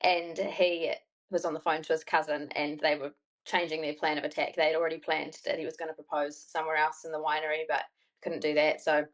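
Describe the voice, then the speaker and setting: A woman's voice: low voice, flat delivery, a little fast, poor audio. A young woman from New Zealand with a New Zealand accent, a podcast guest chatting loosely and telling a story, full of fillers. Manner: chatty, rambling, many fillers and "like". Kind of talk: casual storytelling interview.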